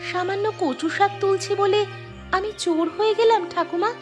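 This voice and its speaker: Woman as poor young village wife, cartoon, high voice, plaintive and gentle, music behind. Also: normal speed. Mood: pleading, hurt. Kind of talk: cartoon story dialogue.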